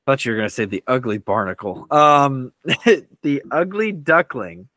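A man co-hosting a casual podcast, bantering through a quiz in a joking, animated way. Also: mid-range voice, moderate pace, no echo. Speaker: podcast co-host.

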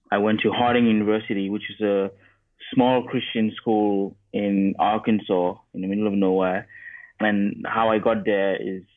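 A man's voice: on the low side, no room sound. A man, giving casual, conversational interview answers as a podcast guest over a remote call. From Cameroon. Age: 20s. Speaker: podcast guest, software engineer and photographer. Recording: narrow tinny phone-like line.

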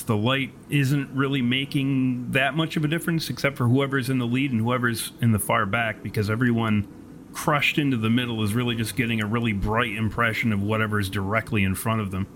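A man narrating a tabletop horror game as game master, in descriptive, deliberate, self-correcting storytelling. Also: medium pitch. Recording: steady background noise.

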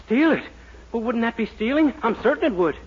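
An eager, naive young American man in an old radio comedy. His voice sits high and swings widely in pitch. The recording is muffled, from the 1940s. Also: animated, a little fast, heavy background noise. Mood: anxious. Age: young adult. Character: eager, naive young man, nervous schemer, mock screen lover.

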